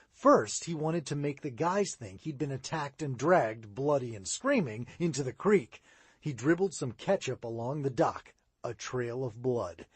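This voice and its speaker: A man narrating a children's audiobook, his voice rising and falling with the story. The tone is a little husky and sits slightly high for a man, and the sound is close and dry. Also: dry recording. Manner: expressive read-aloud, rising and falling. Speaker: audiobook reader.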